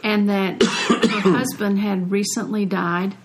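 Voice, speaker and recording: Older woman author, medium-pitched voice. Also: fairly even intonation, slow pace, heavy background noise. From North Carolina, United States. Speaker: author, interview guest. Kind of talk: conversational personal storytelling.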